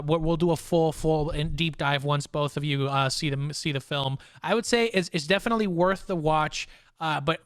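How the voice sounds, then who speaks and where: A man's voice: a little high, fairly bright tone, clean tone, no echo, studio quality. A young man hosting a casual pop culture discussion, talking enthusiastically in informal, looping, hesitant phrases. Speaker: podcast host.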